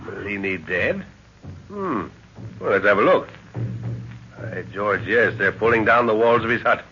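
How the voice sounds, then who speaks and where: A man's voice: lowish voice, animated, pushed and bright, exaggerated voice. An actor playing a decisive older professor and father who leads a jungle expedition in a 1930s radio drama. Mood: urgent, commanding. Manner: musing hums, brisk orders. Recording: muffled, hissy old 1930s radio recording.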